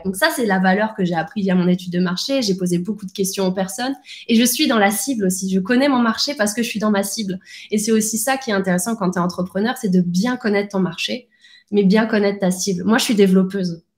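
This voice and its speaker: A woman talking as a podcast guest, speaking in a lively, casual way with many restarts and fillers. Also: mid-range voice, reverberant. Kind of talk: casual conversational interview.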